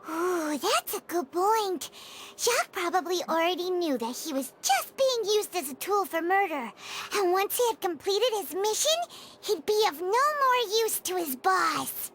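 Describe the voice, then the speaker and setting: A woman voicing a tiny, curious, eager childlike companion creature in a video game, with a squeaky, bubbly delivery. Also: lively intonation, measured pace, fairly bright tone, slight background noise, heavily acted. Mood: curious, pondering. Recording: game music behind the voice.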